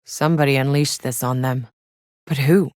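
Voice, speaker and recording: A woman's voice: rumbling low, fairly even intonation, normal speed, a little mellow, no room sound, clean studio sound. An actress playing a tough, sardonic female soldier and explorer in a sci-fi video game, blunt and matter-of-fact. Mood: grave, reflective. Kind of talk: scripted video game character voice lines.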